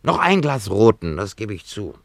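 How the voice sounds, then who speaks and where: A man's voice: lowish voice, very animated, normal speed, creaky, no room sound. A man playing an irritable, sardonic dentist in a German radio play, narrating theatrically in clipped, emphatic phrases. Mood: grumbling narration. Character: irritable, wine-loving male dentist in an ancient town.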